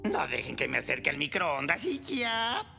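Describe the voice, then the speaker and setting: An actor voicing a dim, excitable creature sidekick in a cartoon, with a creaky voice and a stammering, goofy manner, fawning on a boss. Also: medium pitch, normal speed. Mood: eager, dim. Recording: loud music behind.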